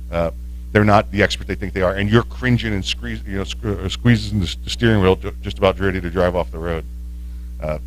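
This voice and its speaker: A man's voice: lowish voice, rough recording. A man who hosts talk radio, chatting casually on a panel stream and rambling with frequent restarts and fillers.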